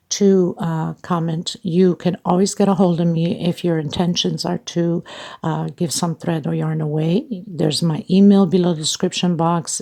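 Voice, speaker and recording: A woman addresses her viewers like a casual tutorial host. Her voice sits on the low side and is thick with creak. The melody stays rather flat and even, while the wording is chatty and informal. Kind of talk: casual spoken tutorial and channel announcements.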